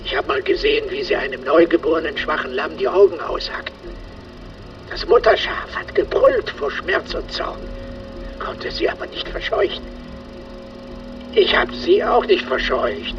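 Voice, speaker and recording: A man's voice: on the high side, sing-song, moderate pace, highs cut off. An actor playing a frightened, lonely old man, brooding and confessional in a radio drama. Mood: haunted, brooding. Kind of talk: German radio drama monologue. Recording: distant miking with music underneath.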